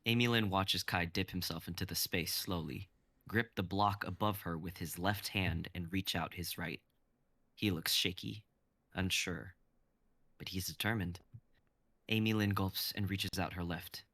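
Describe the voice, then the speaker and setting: Deep, bright-toned young man narrating. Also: a little slow, no room sound. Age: 20s. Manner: steady descriptive narration. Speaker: narrator and reader. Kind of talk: dramatic script reading.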